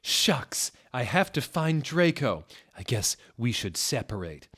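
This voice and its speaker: Angsty, jealous vampire lad, voiced by a man, brooding yet dramatic, lifted pitch. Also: very animated, slow, fairly bright tone, full character voice. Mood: alarmed. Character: angsty, jealous teenage goth vampire boy.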